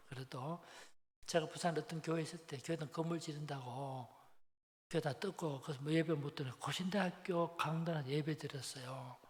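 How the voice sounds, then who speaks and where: A man in his seventies delivers a sermon with a Busan Gyeongsang accent, his voice a little higher than most men's. He tells stories colloquially, puts questions to his listeners and repeats key phrases. Long hall reverb surrounds his speech. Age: 70s. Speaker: preacher. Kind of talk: Sunday afternoon sermon, conversational storytelling.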